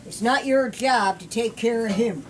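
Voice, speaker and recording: A woman voicing a calm, protective father in a storybook reading, quiet, gentle and grave. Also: fairly high pitch, unhurried, far from the mic, noisy background, lightly acted.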